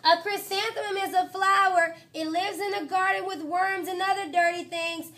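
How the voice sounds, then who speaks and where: A woman's voice: very high-pitched, steady tone, normal speed, a bit bright, pitched above the performer's own voice. A woman voicing a bratty, mocking little schoolgirl in a storybook read-aloud. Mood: snooty. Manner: bratty, teasing.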